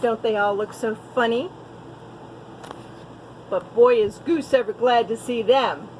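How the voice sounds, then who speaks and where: A woman teacher telling a picture-book story to young children, expressive, with little asides to her listeners. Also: on the high side, unhurried, a little hiss or hum, rough recording.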